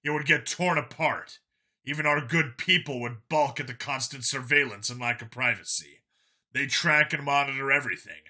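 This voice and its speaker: Man voicing an earnest, forceful, world-weary space cop. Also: medium pitch, moderate pace. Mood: heated, bitter. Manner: long, insistent, reasoning speeches.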